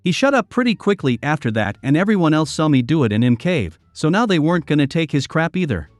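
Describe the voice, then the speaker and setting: A man narrating reddit stories in a steady, scripted storytelling read. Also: on the high side, fast talker, no room sound. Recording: dry close sound with soft music behind.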